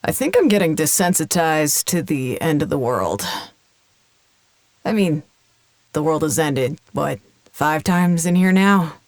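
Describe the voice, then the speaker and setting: A woman's voice: low voice, lively intonation, unhurried, long pauses, no room sound, clean studio sound. A woman acting in an audio drama as a jaded, candid woman, musing frankly and dryly. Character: jaded, candid woman numbed by endless catastrophe. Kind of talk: naturalistic audio drama monologue.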